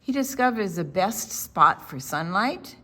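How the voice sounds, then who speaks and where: An older woman reading a picture book aloud to children, expressively, pausing to explain the pictures. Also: mid-range voice, sing-song, fairly bright tone. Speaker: storytime reader.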